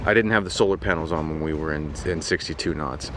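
A man in his forties talking casually and matter-of-factly to camera in halting phrases, explaining gear like a vlogger. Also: a bass voice. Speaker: sailing vlogger reviewing equipment. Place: outdoors on a sailboat deck at anchor. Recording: windy outdoor boat deck noise.

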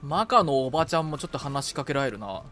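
A man chatting casually as a game streamer with a co-player, bantering and quick to exclaim at what happens. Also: mid-range voice, expressive, a bit bright. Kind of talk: casual gaming chat with a co-player. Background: game music.

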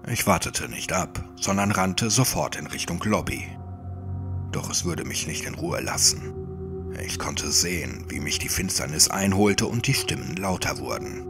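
A man reads a scripted horror tale in a low voice with plenty of gravelly fry. His delivery is measured and suspenseful, in the style of an audiobook. Music plays clearly behind him. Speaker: horror story narrator. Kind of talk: scripted first-person creepypasta narration, audiobook.

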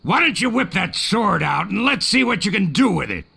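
A man voicing a gruff, crusty sword trainer in a cartoonish adventure game, barking, bragging and contemptuous. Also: high-pitched, very animated, moderate pace, noisy background, exaggerated voice. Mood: grudging, blustery. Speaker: sword fighting coach.

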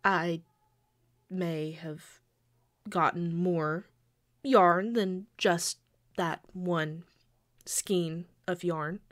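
A woman's voice: lowish voice, fairly even intonation. A woman playing a quirky, sheepish young girlfriend who pleads and defends herself hesitantly in an intimate audio roleplay. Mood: guilty, hushed. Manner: hesitant, pleading, halting with pauses. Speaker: amateur audio roleplay voice actor.

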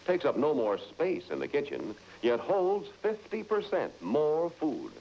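Casting: male ad announcer, emphatic, measured, hugely varied intonation, old-time selling style. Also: on the high side, wildly swooping pitch, a little mellow. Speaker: commercial announcer. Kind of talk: vintage advertising pitch. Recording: muffled, noisy old broadcast recording.

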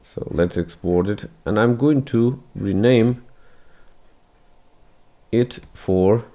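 A man whose first language is Portuguese talks through a photo edit at an unhurried pace. His voice sits fairly low and sounds mellow and dark. He often stops and restarts his phrases, recorded at a desk as voice-over for a screen capture. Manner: hesitant, many restarts, non-native phrasing. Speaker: photography tutor. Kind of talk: screen-recorded software tutorial.

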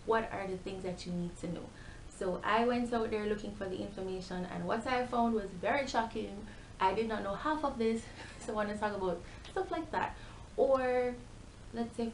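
A young Jamaican woman talks casually to camera in a chatty, animated way. Her voice sits at a middle pitch and carries a Jamaican accent. It was recorded in a bare, echoey room with a little background noise. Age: young adult. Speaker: YouTube vlogger. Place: small bare-walled room indoors.

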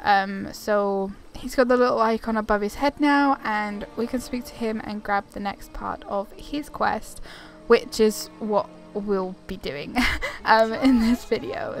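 A young woman giving casual, rambling, giggly commentary as she hosts a video game playthrough. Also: a little high. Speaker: gaming video host. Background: game music.

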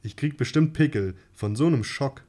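A man voicing August, an odd, nervous young man who stalls and speaks flatly, in a radio play. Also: medium pitch, normal speed, husky, natural voice. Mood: deadpan. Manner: hesitant and stalling, flat deadpan. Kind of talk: amateur radio-play reading.

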